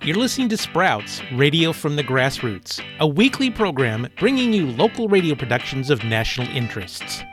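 A man's voice: fairly high pitch, very animated, moderate pace, few pauses, over music. A man reading a scripted announcement as the announcer of a radio program.

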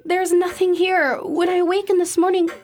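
An actress playing a meek, anxious young Victorian daughter, pleading in formal old-fashioned speech in a radio drama. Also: female voice, very high voice, flat delivery, moderate pace, a little mellow. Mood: distressed. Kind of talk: theatrical radio drama acting.

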